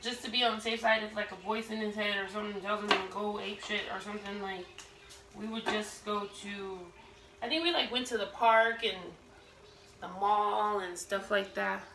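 Chatty gravelly woman in a roomy kitchen. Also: medium pitch, level tone, moderate pace, noisy background. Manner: rambling, chatty, many fillers and restarts. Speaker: cooking vlogger. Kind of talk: casual storytelling while cooking. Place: home kitchen, indoors. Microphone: camera set across the room. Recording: roomy kitchen, distant camera, pan clatter.

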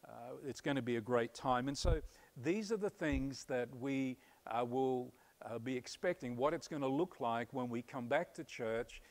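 An Australian man in his fifties speaks as a pastor, earnest and upbeat, often pausing on "uh". His voice sits at a middle pitch. He is recorded on a lapel mic while standing on a church stage. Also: a little mellow. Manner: earnest, upbeat, many "uh" fillers. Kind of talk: church announcement video. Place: church stage before a curtain.

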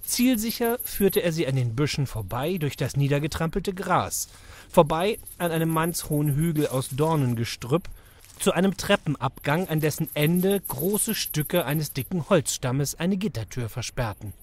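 A man narrating a children's audio drama in an expressive storytelling manner. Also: a little high, moderate pace.

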